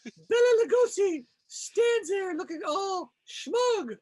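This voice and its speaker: A woman's voice: very high-pitched, lively intonation, slow, darkish tone. A woman casually narrating comic panels in a wry, amused, improvised way. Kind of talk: improvised, casual scene description.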